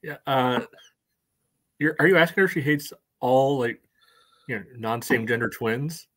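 A man in his thirties with a medium-pitched voice joins as a panelist. He chats casually in a wry, joking way. He is recorded at home over a video call. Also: moderate pace, a bit bright. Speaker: podcast panelist. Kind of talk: casual chat.

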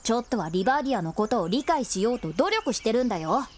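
A woman playing a cheeky but caring young boy companion in a voice drama, boyish, casual and expressive. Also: a little high, fast talker, a little hiss or hum. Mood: scolding, caring. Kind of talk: Japanese voice drama acting.

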